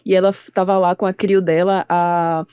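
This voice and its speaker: A woman's voice: on the low side, level tone, normal speed, dark tone, smooth, no echo, studio quality. A young adult woman from Bahia, speaking as a podcast guest in an earnest, assertive and fluent way. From Itacaré, Bahia, Brazil. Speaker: guest artist. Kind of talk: podcast conversation.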